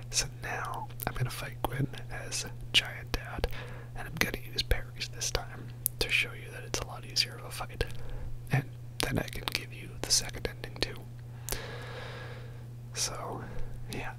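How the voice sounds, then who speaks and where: A man whispering a soft, rambling ASMR commentary over gameplay as host of his channel, joking about himself with quiet laughs. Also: mid-range voice, vocal fry, poor audio. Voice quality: whispering throughout.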